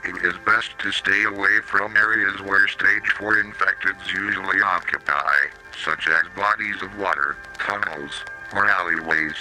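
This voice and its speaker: Synthetic male narrator for horror safety tape: robotic, slightly low, piercingly bright, mechanical delivery over music. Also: poor audio. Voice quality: robotic synthetic text-to-speech voice. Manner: flat, mechanical, odd pronunciations. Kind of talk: scripted analog horror public-safety narration. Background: slow music.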